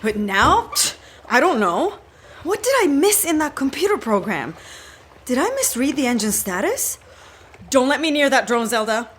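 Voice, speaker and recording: A woman's voice: high-pitched, big pitch swings, normal speed, fairly bright tone, husky, heavily acted. A woman playing a guilt-ridden, agitated young hacker, emphatic and emotional, in an audio drama. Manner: emotional, emphatic, self-blaming.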